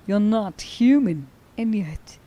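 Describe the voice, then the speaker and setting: Woman acting a slow-spoken, stern huntress. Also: mid-range voice, animated, mellow. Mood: angry, agitated. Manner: slow, halting, intense delivery with sighs. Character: stern, grieving young huntress who hates werewolves. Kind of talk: dramatic ASMR roleplay monologue.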